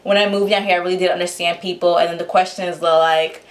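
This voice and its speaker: A woman's voice: on the low side, steady tone, quick pace. A young woman with a New York accent, telling her life story to camera in a chatty way, full of fillers and restarts. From Honduras. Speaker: vlogger.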